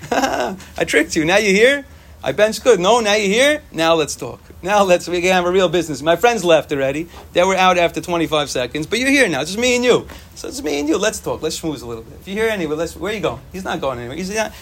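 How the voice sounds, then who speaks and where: Young guy, yiddish first language, schmoozy torah talk, gets worked up and repeats himself, bit of a higher voice. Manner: animated, repetitive, sprinkles Hebrew and Yiddish terms. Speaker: speaker giving a short Torah talk. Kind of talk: informal Torah talk to students.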